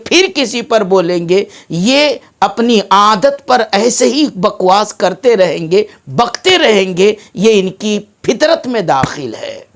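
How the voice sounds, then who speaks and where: High-pitched, slow, emphatic Indian preacher. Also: male voice. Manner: emphatic, oratorical, with deliberate pauses. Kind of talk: sermon.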